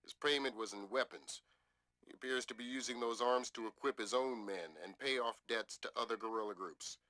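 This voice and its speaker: A man's voice: medium pitch, fairly quick, sharp tone, no room sound, lightly acted. A man playing a stern, wry spy commander giving orders in a video game briefing. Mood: authoritative.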